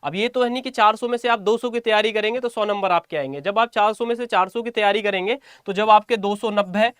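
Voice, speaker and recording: A man's voice: sits high, fast talker, fairly bright tone, clean tone, dry recording, clean studio sound. A man giving an informal exam-update lesson to students as a coaching teacher, repeating phrases for emphasis.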